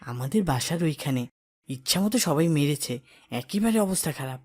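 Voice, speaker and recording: A woman voicing an excited, indignant boy in a cartoon, talking to his friends. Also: deep voice, fairly quick, husky. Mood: matter-of-fact. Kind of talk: cartoon drama voice acting.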